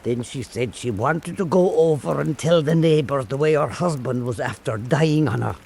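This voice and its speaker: A man playing an old Irish island storyteller, telling an eerie fireside tale in a deliberate, theatrical way for radio drama. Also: medium pitch, vocal fry, a little mellow, slightly rough. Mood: eerie, measured.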